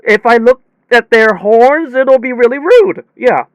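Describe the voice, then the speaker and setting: A man playing a rattled technician in an audio drama, telling his story conversationally in halting, repetitive bursts full of false starts and self-corrections. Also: very high-pitched, lively intonation, smooth, dry recording, muffled recording. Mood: excited, bewildered. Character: rattled, rambling young technician retelling a bizarre demon encounter. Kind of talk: hobby audio drama monologue, conversational retelling.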